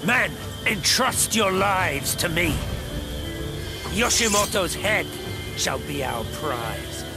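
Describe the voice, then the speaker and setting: An actor playing a bold, defiant samurai warrior, forceful and declamatory, in a video game cutscene. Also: male voice, sits high, expressive, slow pace. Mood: rallying. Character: fierce, defiant samurai warrior, bold battle-hardened man. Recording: loud music behind.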